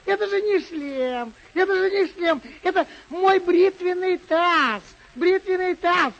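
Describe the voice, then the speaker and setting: A cowering village barber in an old radio drama, squealing in a very high voice. He pleads and gabbles timidly, on a dull lo-fi recording. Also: slow, a bit bright, exaggerated voice. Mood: whining, pleading. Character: poor, timid, panicky village barber, cowering before knight.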